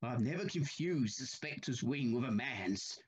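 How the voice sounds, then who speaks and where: An actor playing an anxious, haunted older railway signalman in a Victorian ghost story, troubled and halting, with formal old-fashioned speech. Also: mid-range voice, lively intonation, breathy edge. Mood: haunted, insistent.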